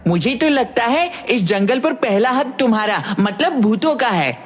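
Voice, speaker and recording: A woman's voice: on the high side, very animated, unhurried. A woman voicing an eager, outspoken cartoon sidekick with an exclamatory manner. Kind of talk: Hindi cartoon voice acting.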